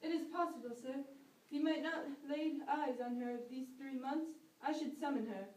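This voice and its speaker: A woman acts an anxious, deferential Puritan parson in an amateur play. The voice is high and comes from a little way off in an echoey room. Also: normal speed, a little mellow, natural voice. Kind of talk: amateur stage-play acting. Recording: echoey room, distant mic.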